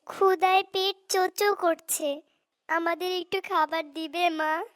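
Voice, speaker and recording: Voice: extremely high pitch, fairly even intonation, slow pace, fairly bright tone, no room sound, studio quality, heavily acted. A performer voicing a frightened little baby bird in a cartoon, childlike and pleading. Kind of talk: Bengali moral cartoon dialogue.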